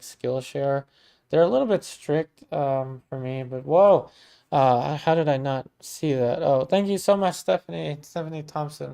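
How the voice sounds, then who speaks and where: A man in his thirties from Maryland talks through a painting livestream in a relaxed, rambling way and answers viewer comments as they come in. His mid-range voice has a gravelly rasp. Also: medium pitch. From Maryland, USA. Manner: relaxed and chatty, many ums and restarts, warm thanks to viewers. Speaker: art teacher livestreaming a painting session. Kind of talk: casual livestream chat, reading viewer comments.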